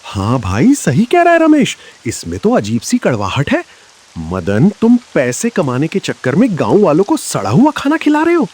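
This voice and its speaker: An actor playing a fair-minded village uncle in a cartoon story, husky and emphatic. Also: male voice, a little high, huge pitch swings, normal speed, a little mellow, full character voice. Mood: disgusted. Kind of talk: dramatic Hindi moral-story cartoon acting.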